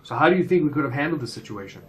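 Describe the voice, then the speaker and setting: Man as stern school administrator, brisk and instructing, amateur drama, medium pitch, slight background noise. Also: expressive, natural voice, rough recording. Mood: stern, firm. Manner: firm, formal, instructing. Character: stern, orderly male school disciplinarian. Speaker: school administrator questioning students.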